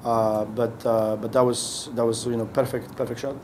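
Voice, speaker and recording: A middle-aged man, a head coach, answering questions at a post-game press conference, speaking haltingly with many restarts as he searches for words. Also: mid-range voice, level tone, noisy background. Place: indoor press room. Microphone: podium mic on a stand.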